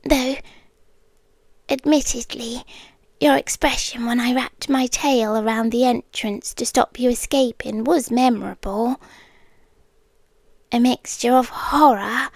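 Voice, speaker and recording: An obsessive, possessive monster girl played by a woman speaks in a high British voice, very close and dry. She takes her time, choosing formal words and often sinking into a whisper. It is an intimate ASMR roleplay monologue with a yandere temper. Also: fairly even intonation, slow pace, pauses often, darkish tone, dry recording. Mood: wry, intimate. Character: obsessive yandere female monster with tail, claws and venom.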